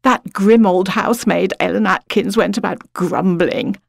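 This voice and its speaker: A woman with a British accent giving an expressive, reflective dramatic reading of literary fiction as a narrator. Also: mid-range voice, unhurried, vocal fry, no room sound, clean studio sound.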